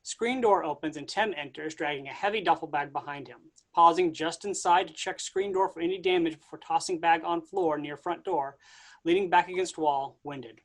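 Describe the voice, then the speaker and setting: A man reading stage directions aloud in a staged play reading, steady and plain. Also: on the high side, normal speed.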